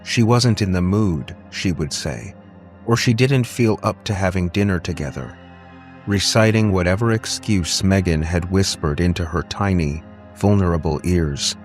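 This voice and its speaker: A man's voice: deep voice, normal speed, faint music behind. A man reading a scripted dramatic story as an audiobook narrator.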